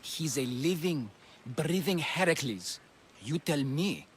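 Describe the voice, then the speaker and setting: A high-voiced, lovesick, melodramatic athlete. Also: male voice, lively intonation, slow, a little hiss or hum. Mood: dreamy, adoring. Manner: theatrical, gushing, dramatic. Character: lovesick melodramatic male ancient Greek athlete, fretful and smitten. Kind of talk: video game cutscene acting.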